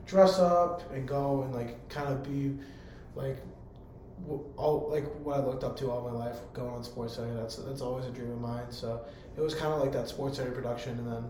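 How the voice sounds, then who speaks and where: A hoarse young man, a college public address announcer, answering interview questions in an eager, rambling way full of fillers. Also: mid-range voice, darkish tone. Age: young adult. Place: room on a college campus. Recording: very echoey room, faint background hum.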